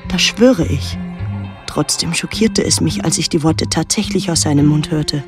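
An actress playing a troubled, watchful daughter who narrates an island mystery in a measured, literary way. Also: female voice, sits low, music in the background. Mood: shocked. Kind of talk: literary radio drama narration and dialogue.

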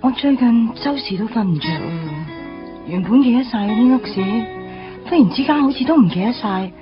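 A woman's voice: fairly high pitch, lively intonation, normal speed, over music, natural voice. Blunt, teasing Hong Kong schoolgirl played by a woman. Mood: anxious. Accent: Hong Kong Cantonese. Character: bold, teasing teenage schoolgirl, impatient first sweetheart. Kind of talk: Cantonese radio drama acting. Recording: slightly dull lo-fi radio audio.

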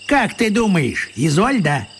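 An eccentric, argumentative wizard in a radio play. His voice is high for a man and swings wildly up and down. He talks in homely, grumbling colloquialisms, with a long hall reverb around him. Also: sing-song, unhurried, noisy background, full character voice. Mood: puzzled musing. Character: elderly eccentric man, a wizard, stubborn and self-justifying. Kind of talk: audio drama acting.

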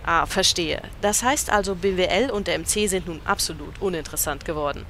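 A dry, unimpressed news reporter, voiced by a woman, briskly delivering reports and questions. Her pitch sits mid-range, and a faint hiss sits under her voice. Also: fairly bright tone. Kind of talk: parody audio drama.

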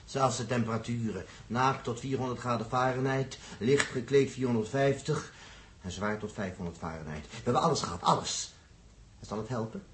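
Faintly noisy sixties radio drama. A man acts a gruff, fatalistic astronaut in a medium-pitched voice with a Netherlands Dutch accent. He grumbles brusquely at his crewmates. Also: moderate pace, fairly bright tone, close to an everyday voice. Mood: resigned. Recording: old radio recording, faint noise.

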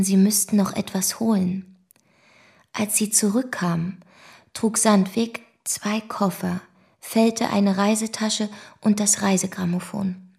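A woman's voice: mid-range voice, creaky, a little mellow. An actress playing a matter-of-fact female witness who recounts events plainly and formally in a German radio drama. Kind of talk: German radio drama, first-person testimony.

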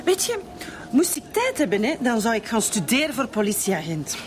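A woman's voice: fairly high pitch, very animated, moderate pace, noisy background. An actress with a Flemish accent playing a brash, chatty, self-satisfied mother in a radio drama, colloquial and cheeky. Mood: cheeky, proud.

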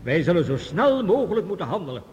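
A man acting a grave, formal chairman of a world council in a science fiction radio play, speaking in measured official phrases. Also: high-pitched, big pitch swings, lo-fi sound, crowd noise. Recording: hall reverb, noisy old radio recording.

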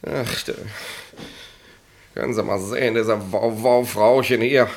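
An actor as a fussy, flustered German police inspector. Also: male voice, medium pitch, steady tone, unhurried, a little hiss or hum, close to an everyday voice. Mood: grumbling. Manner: hesitant, repetitive, colloquial, officious. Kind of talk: 1960s German radio play acting.

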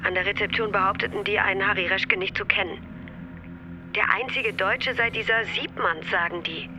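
A woman's voice: medium pitch, steady tone, normal speed, over music, natural voice. An actress playing a worn-out, practical, reproachful mother in a German radio drama.

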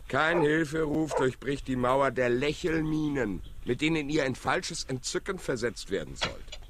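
A man's voice: medium pitch, lively intonation, a little slow, noisy background, lightly acted. An actor playing an ominous, talkative village workman who delivers rhetorical warnings in a radio drama. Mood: ominous, insistent. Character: one-handed village workman, prophetic, ominous, talkative orator.

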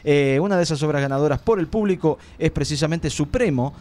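A man's voice: on the high side, quick pace, darkish tone. An Argentine man hosting an informal radio interview, chatty and loose in the way he phrases things. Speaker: radio host.

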